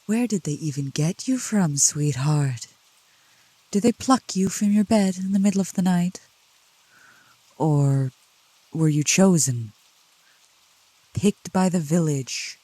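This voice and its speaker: Woman acting as a seductive female demon, soft ASMR roleplay, very unhurried, dark mellow tone, coaxing and protective, rain behind. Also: no room sound, rough recording. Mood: tender, coaxing. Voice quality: soft low voice. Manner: slow, deliberate, coaxing, with pet names. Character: seductive, protective female demon, soothing yet scornful of cultists.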